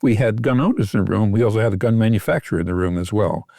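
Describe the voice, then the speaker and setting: Older man, American accent, low voice, expert interview guest, explanatory, dry sound. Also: rapid-fire, creaky, dark tone, no room sound. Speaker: expert guest, physician and academic.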